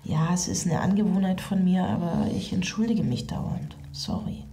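A woman's voice: on the low side, animated, moderate pace, mellow, slight background noise. An actress in a radio drama playing an anxious, overwhelmed mother who broods and often drops to a whisper. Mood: overwhelmed, brittle. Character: anxious, overwhelmed woman, a stressed single mother. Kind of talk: radio drama inner monologue.

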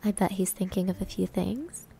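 A woman's voice: medium pitch, moderate pace, a little hiss or hum, natural voice. A woman playing a confident, playful senior maid who teases and flirts, in an anime-style ASMR roleplay. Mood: teasing, flirty. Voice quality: breathy, soft. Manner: coy, teasing. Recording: music behind the voice.

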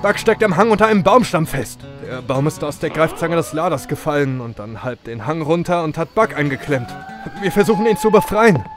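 A man playing an alarmed lumberjack who calls for help in a radio drama, urgent and loud. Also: on the high side, lively intonation, a little fast, music in the background, close to an everyday voice. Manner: urgent, shouting. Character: alarmed lumberjack coworker, excitable. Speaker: lumberjack Colin.

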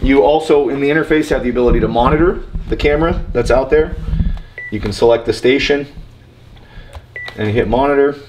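A man's voice: mid-range voice, fairly quick, long pauses, darkish tone. A man in his thirties giving an informal, matter-of-fact, step-by-step walkthrough as an installing contractor. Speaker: installing contractor demonstrating equipment. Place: building entrance, lobby and front office. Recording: noisy on-site recording with clicks.

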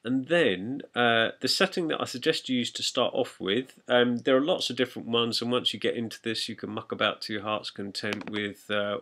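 A man talking as a music production tutor, giving an instructional, step-by-step walkthrough of software. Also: on the low side.

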